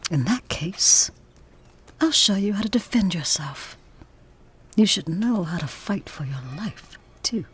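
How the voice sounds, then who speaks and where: A woman's voice: mid-range voice, animated, unhurried, breathy edge. A woman acting a troubled, earnest young daughter with strong principles in an audio drama. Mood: concerned, firm.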